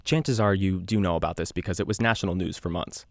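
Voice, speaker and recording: A man playing an earnest island radio host, narrating an eerie mystery in a measured, conversational podcast style. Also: low voice, gravelly, no echo, clean studio sound. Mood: earnest, uneasy. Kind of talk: scripted audio drama narration, confessional podcast.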